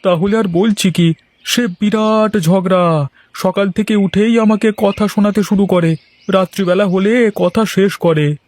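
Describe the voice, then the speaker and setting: A man voicing a timid, poor village errand man in a cartoon, creaky and plaintive as he pleads and laments. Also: high-pitched, fairly even intonation, unhurried, a little mellow. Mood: complaining. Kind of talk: cartoon voice acting.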